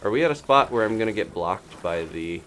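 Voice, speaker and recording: A man's voice: fairly low pitch, expressive, a little fast, fairly bright tone, slight background noise. A man doing casual live video game commentary, thinking aloud in a playful, rambling, muttering way.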